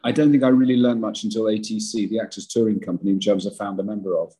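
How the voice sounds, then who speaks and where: Older male actor, interview guest. Big voice, slightly husky, low-set, rambling and self-deprecating. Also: steady tone, very fast, warm tone. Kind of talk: podcast interview.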